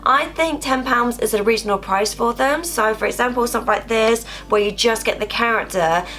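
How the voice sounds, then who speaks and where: A woman's voice: fairly high pitch, brisk, a bit bright. Chatty woman with a fading cough. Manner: chatty, rambling, leans on filler words like "obviously" and "actually". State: getting over a cough, coming down with a cold. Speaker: collector vlogger. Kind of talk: casual haul vlog.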